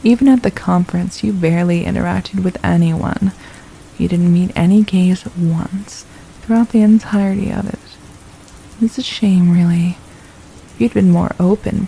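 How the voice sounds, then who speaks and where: Woman acting a very dark-toned, gravelly villainess. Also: medium pitch, slight background noise, low-quality recording. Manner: menacing, mocking, silky and deliberate. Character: possessive, condescending female royal villain holding a captive. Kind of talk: ASMR roleplay, intimate scripted monologue.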